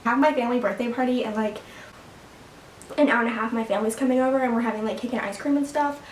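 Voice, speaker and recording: A woman's voice: a little high, fast, distant mic, slight background noise. A seventeen-year-old girl chatting casually to her viewers at home, rambling with lots of fillers and little laughs. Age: teens. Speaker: vlogger. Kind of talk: casual sit-down chat to camera. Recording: echoey room, mic set back.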